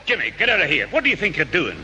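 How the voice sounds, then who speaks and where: A man's voice: a little high, wildly swooping pitch, very fast. A man playing a stern older grandfather in a 1960s radio comedy. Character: gruff, sceptical grandfather of a schoolboy. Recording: muffled old radio recording, crowd behind.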